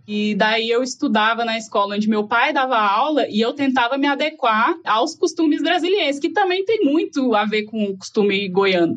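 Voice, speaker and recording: Woman with a Goiás accent, somewhat high voice, relaxed storytelling, lo-fi sound. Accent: Goiás Brazilian Portuguese. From Goiás, Brazil. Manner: reflective, storytelling, frequent fillers. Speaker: guest. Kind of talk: casual conversation. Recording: dull lo-fi audio.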